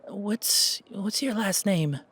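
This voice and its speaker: A man playing a shocked, grieving young man in an audio roleplay, stammering and halting with a breathy delivery. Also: high voice, a bit bright, voice pushed up, full character voice. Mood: shaken, subdued.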